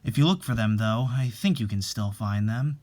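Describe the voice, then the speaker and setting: A performer playing a calm, reassuring young husband from an old family in a dramatic reading. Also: medium pitch, moderate pace, lightly acted. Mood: matter-of-fact. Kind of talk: dramatic reading of a horror manga story.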